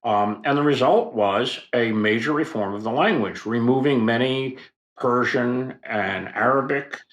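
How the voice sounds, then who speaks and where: An older man explaining history as a podcast guest, in a professorial, unhurried way with fillers and asides. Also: medium pitch, reverberant. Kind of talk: expert explanation in a podcast interview.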